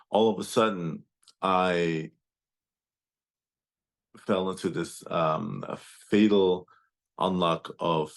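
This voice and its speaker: A man whose first language is German, telling his life story on a video call in a thoughtful, reflective way, pausing often and restarting his sentences. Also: low-pitched. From Turks and Caicos Islands and Germany. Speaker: guest speaker. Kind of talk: personal storytelling.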